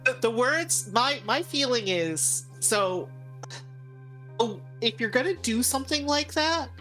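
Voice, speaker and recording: A woman co-hosting a game playthrough, chatting casually in a halting, agreeable way. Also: fairly high pitch, lively intonation, fairly bright tone, faint music behind, rough recording. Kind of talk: casual chat, game commentary.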